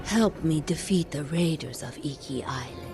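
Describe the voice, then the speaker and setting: A woman acting a coldly manipulative shamaness warlord in a video game, hushed and menacing. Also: low voice, normal speed, vocal fry, over loud music. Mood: hypnotic, coaxing.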